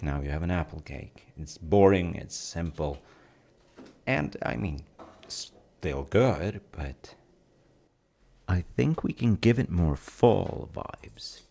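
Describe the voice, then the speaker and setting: Very deep-voiced, unhurried cozy dad played by a man. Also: vocal fry, darkish tone, full character voice. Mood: musing, playful. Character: cozy, playful, reassuring dad baking at home. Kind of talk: intimate audio roleplay, addressing the listener. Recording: noticeable kitchen noise of sizzling and stirring. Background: frying sizzle and kitchen sounds.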